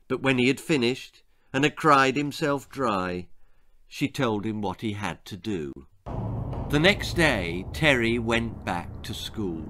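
An older man, an author reading a spooky, comic children's story aloud in a theatrical, deliberate way, drawing out key words. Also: mid-range voice, fairly bright tone. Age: older, about 60s or 70s. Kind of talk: dramatic children's horror-comedy storytelling. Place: book-lined room indoors. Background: background music.

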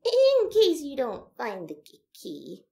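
A woman acts out a flustered female lizard scientist for an audio drama. Her high voice swoops up and down with a creaky edge, stuttering and apologetic throughout. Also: wildly swooping pitch, takes it slowly, mellow, full character voice. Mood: eager, flustered. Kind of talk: fan audio drama acting.

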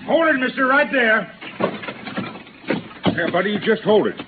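An actor playing a big, gruff, suspicious male henchman in old radio drama style. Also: sits high, sing-song, unhurried, phone-quality sound. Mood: challenging, loud. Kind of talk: 1950s radio drama acting. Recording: very noisy band-limited radio, engine behind.

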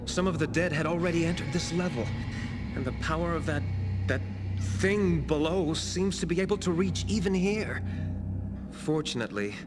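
A man's voice: on the high side, noisy background, close to an everyday voice. A male actor playing a frightened priest in a video game, faltering and dramatic in his delivery. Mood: hushed dread. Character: frightened male priest hiding among the undead. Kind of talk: video game dialogue acting.

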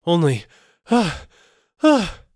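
Brief: male reader doing an old merchant, kind, pleading, panicky gasps, pitched high. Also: big pitch swings, a little slow, a bit bright, husky, no echo, studio quality, full character voice. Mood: terrified. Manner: pleading, then gasping in panic.

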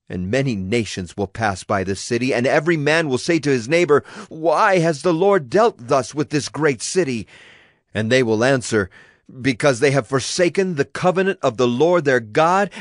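An actor playing a stern prophet pronouncing divine judgment, solemn and declamatory, in a dramatized scripture reading. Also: male voice, medium pitch, no room sound, clean studio sound, close to an everyday voice. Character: stern male Hebrew prophet pronouncing God's wrathful judgment.

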